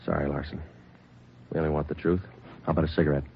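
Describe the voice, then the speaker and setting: An actor in a 1950s radio police procedural playing a dry, relentless detective who questions in a clipped, methodical, deadpan way. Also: male voice, very deep voice, fast, a little hiss or hum. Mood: insistent, dry. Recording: dull lo-fi vintage radio recording.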